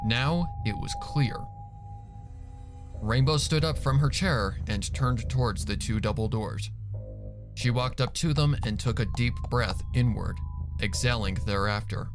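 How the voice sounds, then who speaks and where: Male storyteller for dark fan fiction: unhurried, medium pitch, scripted dramatic narration over music. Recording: music bed under the narration.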